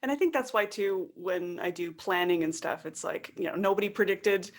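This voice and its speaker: A woman's voice: fairly low pitch, moderate pace. A woman speaking as a podcast guest in a casual conversation, reflective and candid, often voicing her own thoughts as little quotes. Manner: reflective, candid, illustrates points with quoted self-talk.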